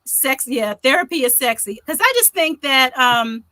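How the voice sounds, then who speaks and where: A woman's voice: high voice, expressive, normal speed, pushed and bright, dry recording. A woman co-hosting a talk show in a casual, chatty style. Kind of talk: casual chat.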